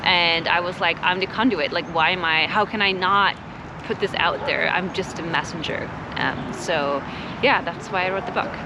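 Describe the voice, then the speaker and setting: A woman from Sweden talking casually and reflectively as an interview guest, expressive and earnest in a conversational way. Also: on the low side, moderate pace, noisy background. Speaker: author and climate educator, interview guest.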